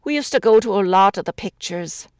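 A woman reading a story aloud, voicing a chatty, homely widow who misses the quiet of the country. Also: medium pitch, animated, fairly quick, no echo, clean studio sound, voice pushed up, natural voice. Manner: chatty, homely, warm-hearted talk.

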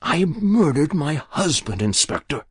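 A man voicing an elderly woman quietly confessing to a long-ago murder, in a raised, lively voice. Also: on the high side, measured pace, slightly rough, no room sound, studio quality, pitched above the performer's own voice, full character voice. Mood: pained.

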